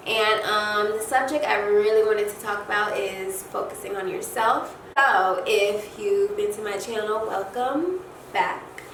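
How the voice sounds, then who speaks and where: A congested young woman giving a casual motivational talk to viewers, conversational and halting, repeating and rephrasing points for emphasis. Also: medium pitch, steady tone, a bit bright. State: congested from allergies. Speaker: host of a self-care advice channel. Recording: echoey room, distant mic, noisy background.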